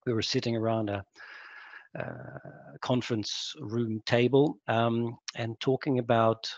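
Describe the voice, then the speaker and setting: A man from Sweden giving a webinar talk as guest speaker on a video call, hesitant and reflective with many pauses. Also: mid-range voice, a little mellow, dry recording. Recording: dull lo-fi call audio.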